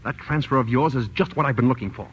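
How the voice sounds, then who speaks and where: An actor playing a brash, hot-tempered racketeer, curt and bullying, in a 1940s-style radio drama. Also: male voice, mid-range voice, fast, breathy edge, natural voice. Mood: impatient scheming. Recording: dull old radio recording.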